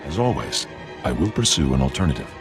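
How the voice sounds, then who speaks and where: An actor playing a humble alien scientist in a video game, speaking formally and precisely with measured apology. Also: male voice, rumbling low, very animated, loud music behind the voice, heavily acted. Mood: grave, deferential. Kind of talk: video game dialogue acting.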